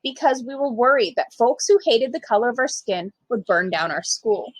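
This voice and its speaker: Animated, high-voiced woman reading a storybook. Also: moderate pace, dry recording, poor audio. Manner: animated, emphatic storytelling for young children. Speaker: storytime reader, literacy program manager. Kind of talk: expressive children's picture book read-aloud.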